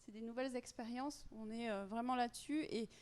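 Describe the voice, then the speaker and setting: Woman moderator, slightly high voice, halting with fillers and restarts. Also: darkish tone. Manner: hesitant, repeats words, fills gaps with "euh". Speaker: panel moderator. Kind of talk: panel moderation.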